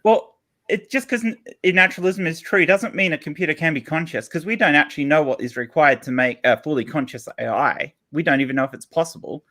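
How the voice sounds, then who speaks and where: High-pitched, bright-voiced man. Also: a little fast. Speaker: co-host. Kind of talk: casual panel chat.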